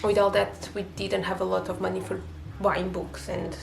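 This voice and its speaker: A woman's voice: mid-range voice, level tone, moderate pace, creaky, echoey room, a crowd in the background. A woman from Portugal with a Portuguese accent, English not her first language, chatting casually in a home vlog with many fillers and restarts. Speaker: booktuber.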